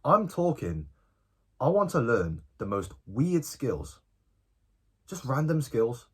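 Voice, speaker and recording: A man's voice: mid-range voice, slow pace, slightly rough. An eighteen-year-old man, talking casually and informally to camera in a self-improvement vlog. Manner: animated, informal, often tagging lines with "bro" and "okay?".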